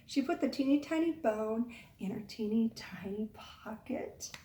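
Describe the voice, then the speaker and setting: A woman reading a picture book aloud to young children, expressive, pausing to ask the listeners questions. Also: fairly high pitch. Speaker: children's storytime reader. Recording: echoey room, far from mic.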